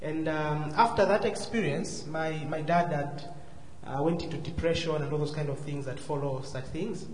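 A man with a southern African accent giving a personal testimony as a guest speaker, courteous, measured and hesitant. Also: fairly high pitch. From Zambia and Malawi. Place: large church hall, live before a congregation. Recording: echoey hall, faint noise.

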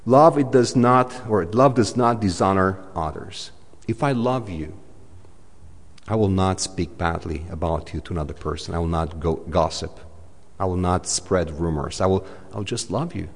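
Low-voiced man preaching in an echoey hall. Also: moderate pace, slightly rough. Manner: conversational, repeats "you know", swings loud and soft. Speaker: pastor. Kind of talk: sermon. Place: church hall.

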